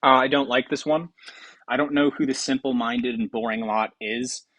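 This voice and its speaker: A man's voice: medium pitch, fairly even intonation, moderate pace, fairly bright tone, dry recording. A man hosting a game show with casual, improvised commentary in a rambling, offhand way.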